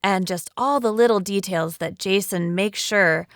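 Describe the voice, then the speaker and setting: A woman with a smooth, clear voice at an average pitch chats informally on a podcast. She is talkative and loose in her phrasing, and the recording is dry. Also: a little slow, no echo. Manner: chatty, frequent "like" and "you know". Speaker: cast member on a podcast panel. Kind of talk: casual chat.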